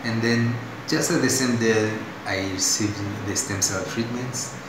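A young man from Tanzania with a Tanzanian accent, telling his story as a patient in a hospital room, halting and full of restarts. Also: fairly low pitch, fairly even intonation. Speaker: patient giving testimonial. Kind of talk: unscripted spoken testimonial. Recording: very echoey room with audible noise.

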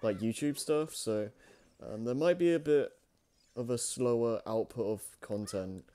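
A man's voice: medium pitch, a little slow, mellow. A man in his twenties chatting casually as a co-host, easygoing and agreeable. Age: 20s. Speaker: co-host and channel owner. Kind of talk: casual chat, channel update.

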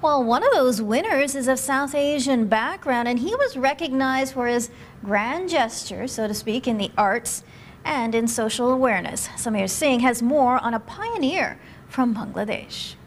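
Higher-voiced woman reading the news. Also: animated, moderate pace, slight background noise. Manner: smooth scripted delivery. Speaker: news anchor. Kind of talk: news presenting. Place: TV news studio.

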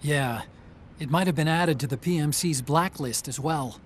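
An actor playing an earnest, brainy tech ally in a video game, giving clear, urgent mission briefings. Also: male voice, fairly high pitch, moderate pace, slight background noise, close to an everyday voice.

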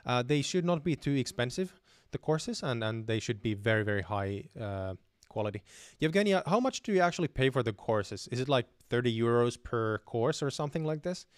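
A young man talks through viewer questions on a live stream, relaxed and full of small hesitations. He sits close to a studio microphone in a dim room at home, so the sound is dry and direct. His pitch sits in the middle range. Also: fairly quick, hardly any pauses, clean tone, no echo. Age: young adult. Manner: relaxed, many fillers and restarts, reads chat questions aloud. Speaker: channel host answering viewer questions. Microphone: studio mic on boom arm close to mouth.

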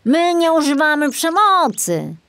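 Woman voicing a prim, high-pitched little male forest sprite. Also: sing-song, a little slow, a bit bright, heavily acted. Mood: indignant. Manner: formal, admonishing. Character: small prim gnome-like forest sprite, male, touchy and polite.

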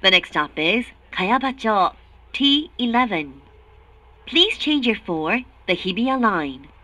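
Very slow, bright female train announcer. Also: mid-range voice, sing-song, no echo. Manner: formal, measured, clearly articulated. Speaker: English-language train announcer. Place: recorded announcement played inside subway car.

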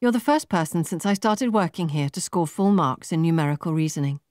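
A woman voicing a brisk, confident young female recruiter in an audiobook, chirpy, polished and patronising. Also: fairly low pitch, lively intonation, darkish tone, dry recording, clean studio sound, natural voice.

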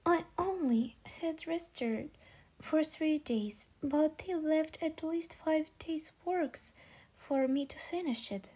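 A woman's voice: sits high, takes it slowly, warm tone. A woman voicing a young housekeeper girl, perky, indignant and scheming, in a manga dub.

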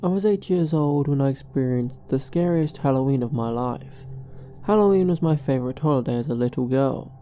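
A woman reading a scripted first-person horror story in a measured storytelling manner. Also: rumbling low, expressive, warm tone, soft background music.

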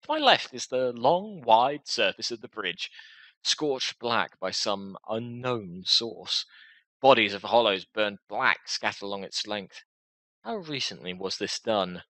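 A young man streaming a game, reading its narration aloud in a headset and breaking off into quick casual asides. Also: lowish voice, animated, sharp tone, no room sound. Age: young adult. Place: small room at home.

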